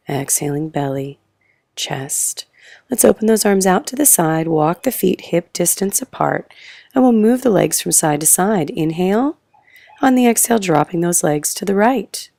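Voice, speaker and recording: Croaky-voiced Australian woman, yoga instructor, low and gravelly, soft whispered cues. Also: no room sound, studio quality. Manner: calm, breath-paced cueing, trails into soft whispers. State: hoarse, croaky voice. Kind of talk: guided yoga class instruction.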